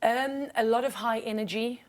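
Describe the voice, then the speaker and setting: South African woman, casual interview guest. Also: moderate pace. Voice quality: low register. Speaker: singer and musician guest.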